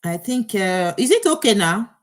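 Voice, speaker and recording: Lady hosting a live online show on an earpiece, mid pitch, kinda flustered, lots of ums and restarts. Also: big pitch swings, normal speed. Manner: flustered, troubleshooting aloud, many fillers and restarts. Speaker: host of an online program.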